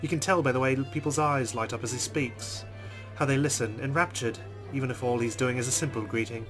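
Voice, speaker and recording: Let's player, male, mid-pitched, reads game narration dramatically. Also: a little fast, music in the background. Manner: expressive reading with reactions. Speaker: let's player reading a visual novel. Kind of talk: dramatic first-person narration.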